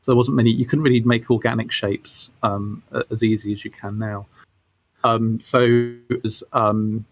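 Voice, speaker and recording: A man's voice: mid-range voice, husky, no echo. Middle-aged man in a dry, close recording. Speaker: guest, game studio creative director. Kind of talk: casual interview answers.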